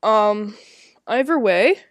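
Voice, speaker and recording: A woman's voice: medium pitch, expressive, takes it slowly, dry recording. A woman hosting a gaming video, chatting casually to her viewers.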